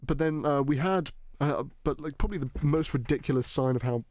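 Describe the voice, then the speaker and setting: A man's voice: a little high, a little mellow, dry recording. Rambling young British-accented man, dry sound. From Hong Kong. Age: young adult. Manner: rambling, swearing, quick laughs. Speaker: podcast host. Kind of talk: casual chat.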